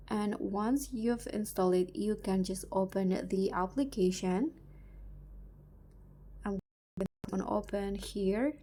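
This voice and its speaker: A woman narrates a how-to tutorial at a slow pace, with plenty of vocal fry. Her tone is soft-edged and mellow, and she explains each step in a halting, instructional manner. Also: medium pitch. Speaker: tutorial host.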